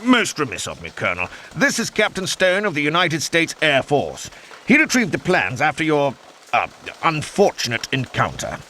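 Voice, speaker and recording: A genial old-school British air force officer for a game cutscene. His plummy British voice is bright and hugely animated, full of courteous, jovial military slang. A faint noise sits behind him. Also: on the high side, normal speed, full character voice. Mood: concerned, courteous. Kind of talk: video game cutscene acting.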